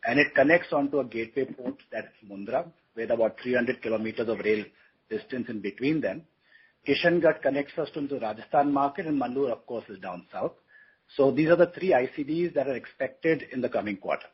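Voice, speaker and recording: Very raspy male executive on a call. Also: mid-range voice, brisk. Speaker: company executive on an earnings call. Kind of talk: explanatory business talk on a conference call. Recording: dull, lo-fi conference call audio.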